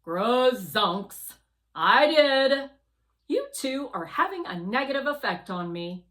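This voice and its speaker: Lady doing a bossy dutiful robot for a kids book, pitched up, very proper and clipped. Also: sing-song, slow pace, a bit bright, exaggerated voice. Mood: flustered. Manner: formal, clipped, officious. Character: Guardian, prim cautious machine spacecraft, dutiful and stern.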